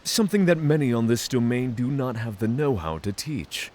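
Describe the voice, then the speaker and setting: A man's voice: mid-range voice, animated, moderate pace, darkish tone, natural voice. A man playing a courteous, candid dragon in a fantasy audio drama, speaking in formal phrases. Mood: calm, candid. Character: giant male dragon in mortal company, courteous, candid. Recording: clear background hiss.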